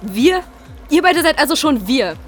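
High-pitched young woman, distrustful, sarcastic DJ, naturalistic web drama, loud background music. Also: sing-song, a little slow, full character voice. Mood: indignant. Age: young adult. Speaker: DJ and online creator. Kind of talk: naturalistic web series acting.

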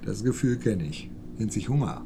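A man's voice: on the low side, background noise. A man playing an easygoing, reassuring middle-aged father in casual, naturalistic radio drama dialogue.

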